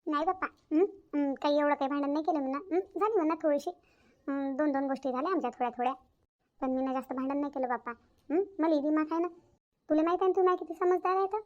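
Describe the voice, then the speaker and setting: Lady doing a bossy village saas for a cartoon, high voice, talks fast, varhadi marathi accent, full of herself. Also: darkish tone, highs cut off, natural voice. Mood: defensive. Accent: Varhadi Marathi of Vidarbha, India. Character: bossy, self-praising village mother and mother-in-law. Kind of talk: Marathi family cartoon story acting.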